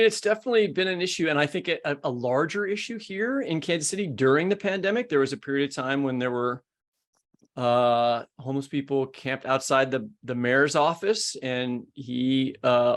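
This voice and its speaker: A man with an American accent, hosting a podcast with scripted introductions and interview questions. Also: mid-range voice. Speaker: podcast host.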